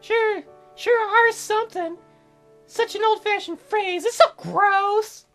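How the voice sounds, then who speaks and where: A very high, thin young girl's voice put on by a man for a visual novel. She is a sick patient, curt and pouty, covering bashfulness with a sharp tongue. Soft music plays behind her. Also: full character voice. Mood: scornful. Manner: curt, pouty, haltingly flustered. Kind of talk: visual novel character read aloud.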